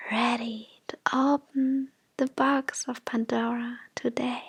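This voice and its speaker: A woman leads a guided affirmation meditation in a fairly high voice. She speaks very slowly and gently, softening often into breathy near-whispers. The sound is close and dry. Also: level tone, no room sound.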